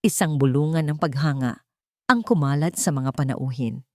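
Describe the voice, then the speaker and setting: A woman with a low-pitched voice tells a scripted, dramatic story. Her delivery is earnest and sentimental, with formal phrasing. The recording is dry, with no room sound. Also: normal speed, warm tone, no echo. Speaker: storyteller and channel host.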